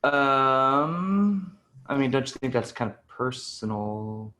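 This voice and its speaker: A man playing a polite, slightly uneasy job applicant in improvised sketch comedy, speaking haltingly. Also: medium pitch, sharp tone. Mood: uneasy, reluctant. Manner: hesitant, halting.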